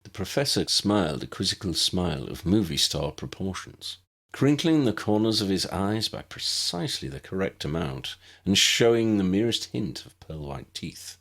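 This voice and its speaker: A man narrating a scripted story for an audiobook in an expressive storytelling manner. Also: sits low, normal speed. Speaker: author narrating own fan fiction audiobook.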